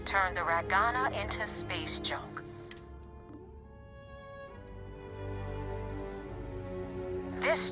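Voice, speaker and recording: A tough space pirate boss from a video game, played by an actress. She is a woman with a mid-pitched voice who gives orders with cold, sardonic menace. She sounds ruthless and used to being obeyed, with music clearly playing underneath. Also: natural voice. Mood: ruthless, dismissive. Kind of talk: video game dialogue acting.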